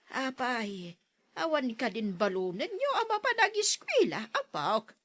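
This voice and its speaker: An actress playing a kindly, generous elderly grandmother in a radio drama, with a husky voice and an Ilocano accent. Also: on the high side, very animated, a little slow, a bit bright, no echo, studio quality, heavily acted. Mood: concerned. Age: older.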